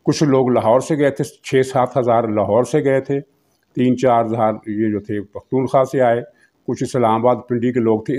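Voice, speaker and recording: A man's voice: mid-range voice, darkish tone. A man with a Pakistani accent giving unscripted political commentary, explaining things and repeating phrases for emphasis.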